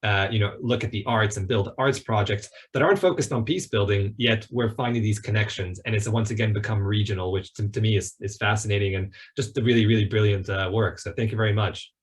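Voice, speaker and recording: Lowish-voiced male academic panelist. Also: brisk, hardly any pauses. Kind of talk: informal academic commentary.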